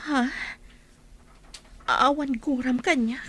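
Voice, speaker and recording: An actress playing a guarded, hesitant young village daughter in a radio drama, with an Ilocano accent. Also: female voice, high-pitched, fairly even intonation, takes it slowly, fairly bright tone, full character voice. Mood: guarded, wary.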